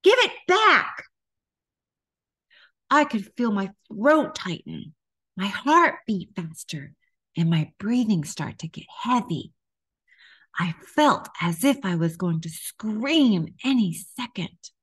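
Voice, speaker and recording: A middle-aged woman reads aloud for young children. Her delivery is slow and deliberate, and her pitch moves in lively swings. It has the expressive shape of a storybook session. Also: breathy edge. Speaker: children's author reading aloud.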